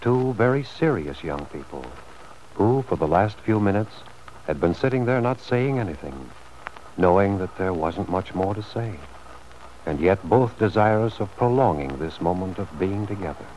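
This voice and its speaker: A man narrating an old-time radio drama from a script in a measured storytelling manner. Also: sits low, expressive, a little mellow. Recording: faintly noisy vintage radio recording.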